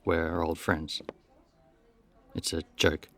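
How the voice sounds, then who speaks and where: An actor playing a world-weary, dryly cynical detective nearing seventy in a radio play, with a wry, deadpan delivery. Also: male voice, deep voice, slow pace. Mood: businesslike, dry.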